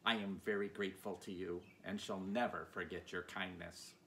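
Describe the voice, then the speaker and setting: Man reading as a low, sincere tin woodman. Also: steady tone, normal speed, a bit bright. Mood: earnest. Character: kindly male tin woodman, earnest and grateful. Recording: clear background noise.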